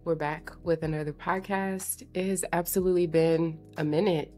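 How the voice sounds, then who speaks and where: A low-voiced woman in her late twenties talks like she is catching up with friends on her podcast. Her voice sounds congested, and her delivery is informal, slangy and confiding. Soft music sits faintly behind her. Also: steady tone, moderate pace. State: congested from allergies. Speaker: podcast host. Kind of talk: casual chatty solo podcast. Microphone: podcast microphone at a desk.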